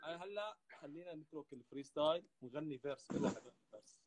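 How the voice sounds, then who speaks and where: A man fires off words at great speed in a rapid, animated way, freestyling and chatting on a stream. His voice sits a little high. Music plays clearly behind him. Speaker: rapper on a live stream. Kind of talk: freestyle rap and casual chat.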